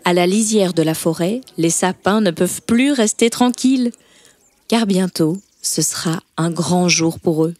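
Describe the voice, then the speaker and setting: A woman's voice: lowish voice, a little slow, no echo. A woman narrating a scripted children's story as a storyteller. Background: soft background music.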